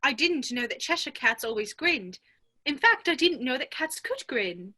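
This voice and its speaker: A woman's voice: sits high, sing-song, moderate pace, bright, forceful tone, exaggerated voice. A woman playing a polite, curious, fretful young girl in a dramatic reading of a children's classic, earnest and prim.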